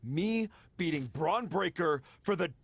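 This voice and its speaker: An actor playing a cocky, vengeful pro wrestling villain in a video game, boastful and taunting. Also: male voice, fairly high pitch, animated, unhurried, gravelly, slightly rough, full character voice. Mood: smug, boastful.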